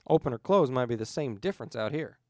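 Man acting a folksy, creaky villainous preacher. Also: mid-range voice, animated, fast talker, a little mellow, dry recording, clean studio sound, close to an everyday voice. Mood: deferential. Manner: folksy, rustic phrasing.

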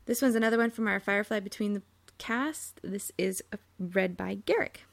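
A woman podcast host speaks casually and informally, with plenty of vocal fry. The recording is close and dry, and she chats her way through an introduction. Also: medium pitch, normal speed, no room sound. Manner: chatty, informal.

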